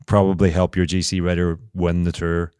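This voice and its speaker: A man's voice: low voice, flat delivery, normal speed, a little mellow, clear, resonant tone, no echo, studio quality. A man co-hosting a casual podcast chat, analytical, working through long explanations with restarts. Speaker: podcast co-host.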